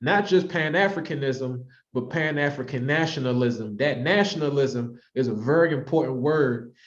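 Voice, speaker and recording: A man's voice: mid-range voice, moderate pace. American man presenting, slightly dull lo-fi audio. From Washington, D.C., United States. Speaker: author and presenter hosting a virtual book club. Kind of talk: informal presentation to an online audience. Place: online video call.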